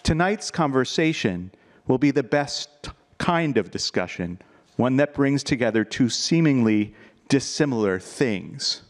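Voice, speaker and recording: A man's voice: mid-range voice, lively intonation, unhurried. A man giving a formal, polished introduction of speakers as host of a live event. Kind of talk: formal introduction, partly read.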